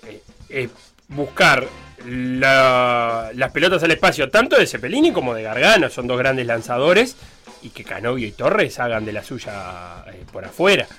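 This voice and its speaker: A bright, forceful male voice with a lilt, musing aloud about football and circling back on his words with fillers. Faint music hums behind him. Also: mid-range voice, big pitch swings, a little slow. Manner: rambling, many fillers and restarts. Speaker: sports radio panelist. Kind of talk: casual football talk.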